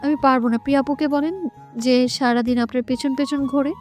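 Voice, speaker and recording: A woman's voice: high-pitched, fairly even intonation, fairly quick, close to an everyday voice. A woman playing a sweet but pouty and feisty young woman in a romantic audio story. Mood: pouty, annoyed. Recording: soft music behind the voice.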